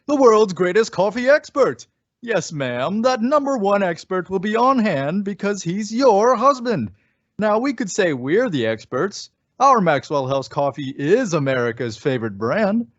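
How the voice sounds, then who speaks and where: Man as a high, animated radio pitchman. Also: normal speed, no echo. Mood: cheerfully persuasive. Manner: folksy, salesmanlike. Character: folksy, persuasive male radio commercial announcer. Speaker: announcer. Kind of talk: old-time radio advertising read. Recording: muffled lo-fi recording.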